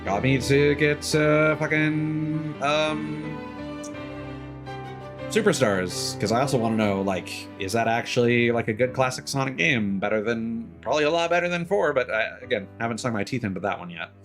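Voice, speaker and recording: A man's voice: medium pitch, normal speed, over music. A man in his thirties chatting casually on a game livestream, rambling and opinionated as he talks. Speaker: game streamer.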